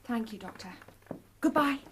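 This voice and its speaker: A woman's voice: on the high side, lively intonation, normal speed, heavy background noise, close to an everyday voice. A woman acting in a radio drama as a spirited, proper young Victorian lady with a British accent, prim and earnest in her speech. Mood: polite, subdued. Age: young adult.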